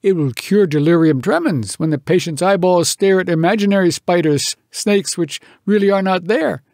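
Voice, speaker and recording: A man voicing an excitable, boastful bush settler in a poem, declaiming grandly. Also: high voice, moderate pace, no room sound, clean studio sound, pitched above the performer's own voice.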